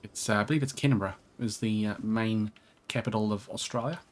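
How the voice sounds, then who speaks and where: A man's voice: lowish voice, fairly quick, a little hiss or hum. A man chatting casually in a rambling, jokey, sweary way as he plays a co-op video game. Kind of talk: casual co-op let's play chat.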